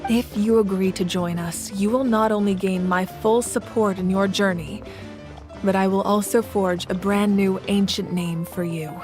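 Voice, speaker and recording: A woman's voice: mid-range voice, fairly even intonation, normal speed, a little mellow, faint music behind, natural voice. A woman playing a composed, resolute warrior goddess who rules a nation, speaking formally and solemnly in a video game.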